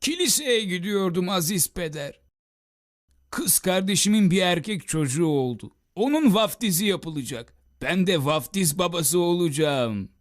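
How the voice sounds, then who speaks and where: A man's voice: high voice, lively intonation, moderate pace. A man voicing a humble, grateful townsman in a cartoon, effusive and devout. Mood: excited, grateful. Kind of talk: cartoon voice acting.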